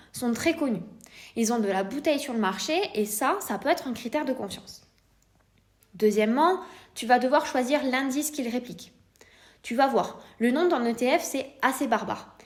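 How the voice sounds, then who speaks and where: A woman with a French accent hosting a podcast, explaining in a scripted, didactic way while addressing the listener informally with rhetorical questions. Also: high voice. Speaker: podcast host, private investor.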